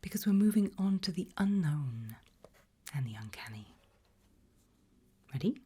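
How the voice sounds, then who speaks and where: A woman whispering in a breathy, hushed and deliberate ASMR style, putting questions to the listener. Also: deep voice, sing-song, a little hiss or hum. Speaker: ASMR host.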